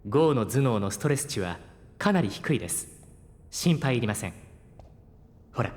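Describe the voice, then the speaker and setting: A man playing a polite, caring artificial intelligence with formal, measured speech in a Japanese audio drama. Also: medium pitch, expressive, a little mellow, natural voice. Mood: reassuring, explanatory.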